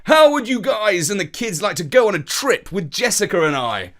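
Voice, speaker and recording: A man voicing a loud, jolly, persuasive uncle in a children's story, with an eager delivery. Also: high-pitched, very animated, moderate pace, voice pushed up. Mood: eager persuading.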